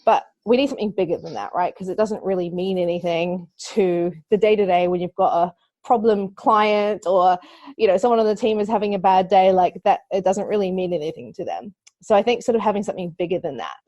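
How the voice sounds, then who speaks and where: A woman's voice: medium pitch, fairly quick, warm tone, no room sound. Kiwi woman in her 30s on a podcast, earnest, lots of ums, dry close mic. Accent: New Zealand. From New Zealand. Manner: earnest, thinks aloud with many fillers. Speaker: guest, digital marketing agency owner. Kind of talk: podcast interview.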